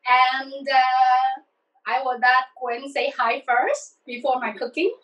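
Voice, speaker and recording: A woman's voice: sits high, takes it slowly, a bit bright, highs cut off. A woman with a Taiwanese accent presenting a cooking demonstration. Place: home kitchen. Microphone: phone a few feet away. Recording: slightly distant mic.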